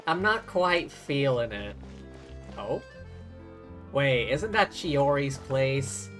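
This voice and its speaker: Slow, easygoing game streamer over music. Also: mid-range voice, animated, fairly bright tone. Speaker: streamer, host. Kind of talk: casual livestream chat while playing a game. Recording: music playing behind the voice.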